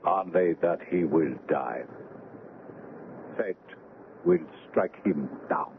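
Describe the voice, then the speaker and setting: An actor playing a superstitious, fatalistic Haitian sailor in a radio drama, teasing and portentous. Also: male voice, sits low, slow. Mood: ominous. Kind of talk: old-time radio drama acting. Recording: tinny old radio recording, faint noise.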